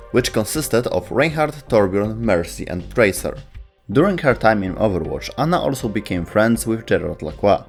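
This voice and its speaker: Low-pitched man narrating over music. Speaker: host and narrator of a gaming lore video. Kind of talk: scripted narration. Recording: music behind the voice. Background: background music.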